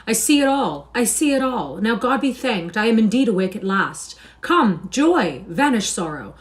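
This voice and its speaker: A woman voicing an excitable poor boy who speaks in archaic, exclamatory phrases. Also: lowish voice, a little fast, close to an everyday voice. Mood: rapturous. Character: excitable poor boy dreaming of becoming king.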